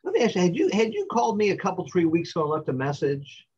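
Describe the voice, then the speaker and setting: A middle-aged man speaking from his office over a video call, relaxed, often affirming with short replies. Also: high-pitched, fast, slightly rough, lo-fi sound. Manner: relaxed, affirming with frequent "right". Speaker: recycling company representative. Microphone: webcam on video call.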